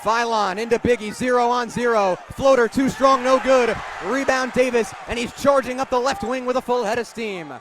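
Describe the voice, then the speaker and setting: A young man doing animated live radio basketball play-by-play, vividly calling the action and raising his voice on big plays. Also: very high-pitched, moderate pace, few pauses. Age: young adult. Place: courtside in a noisy basketball arena. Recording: loud arena crowd and bouncing ball. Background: crowd noise, basketball bounces.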